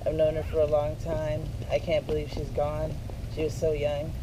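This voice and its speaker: A woman in her fifties sharing a memory at a memorial, hesitant, with many pauses and ums. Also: sits low, completely flat delivery. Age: 50s. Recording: noisy outdoor recording.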